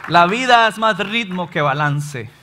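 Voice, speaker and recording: A Salvadoran pastor in his thirties preaching an animated sermon from a church stage, often acting out his listeners' complaints. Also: high-pitched, a little slow, a little hiss or hum. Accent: Salvadoran Spanish. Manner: animated, gestures widely, acts out listeners' complaints. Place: church stage in front of a large screen.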